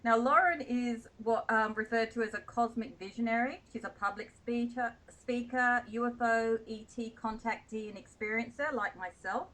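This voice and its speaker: A woman's voice: a little high, normal speed, few pauses, fairly bright tone, low-quality recording. A woman hosting a radio interview show, chatty and giggly, often laughing at her own slips. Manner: giggly, self-deprecating, chatty. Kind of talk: casual radio interview chat.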